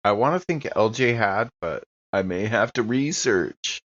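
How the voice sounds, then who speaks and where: A man's voice: on the low side, lively intonation, unhurried, a bit bright, dull audio, slight background noise. A man playing a tabletop roleplaying game with casual, slangy talk.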